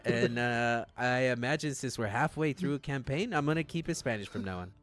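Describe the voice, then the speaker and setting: A man's voice: medium pitch, a little fast, a bit bright, soft background music. A man acting as game master, improvising narration and chatting casually and jokily with players on a stream. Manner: informal, jokey, slangy.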